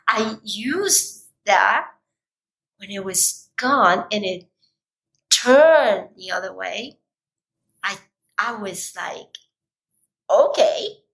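A woman with a slightly raspy voice giving an emphatic, deliberate motivational talk, as a coach speaking directly to viewers. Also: on the high side, sharp tone, rough recording.

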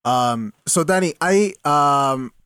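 A man's voice: a little high, animated, takes it slowly, fairly bright tone, smooth, dry recording, clean studio sound. A man interviewing a guest, asking long, hesitant questions full of "um" and "uh". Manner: hesitant, filler-heavy questions.

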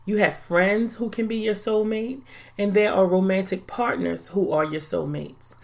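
A woman in her forties hosting a faith-based podcast, talking in an earnest, deliberate, reflective way. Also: medium pitch, fairly even intonation, creaky. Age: 40s. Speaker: podcast host.

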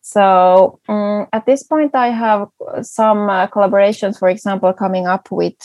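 A woman whose first language is Swedish talks eagerly in a relaxed interview, her voice carrying a gravelly edge. She restarts her sentences often. The sound is close and dry, with almost no room around it. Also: mid-range voice, moderate pace, no room sound. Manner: enthusiastic, many restarts and fillers. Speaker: foraging guide and entrepreneur. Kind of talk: casual interview answers.

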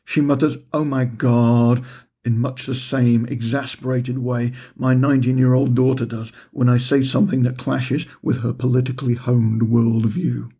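Husky, wry middle-aged man reading. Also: medium pitch, flat delivery, moderate pace, mellow. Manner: dry, wry storytelling with understated humour. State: recovering from a heavy cold. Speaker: author reading his own book. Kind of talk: audiobook narration.

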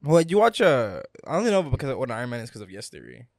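A young man chats casually on his home podcast, loose and slangy, with a middle-pitched voice. He sits close to a desk mic in a small room, and the sound is dry with no echo. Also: fast, creaky. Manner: laid-back slangy talk, drifts louder when excited. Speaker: podcast co-host. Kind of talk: casual chat.

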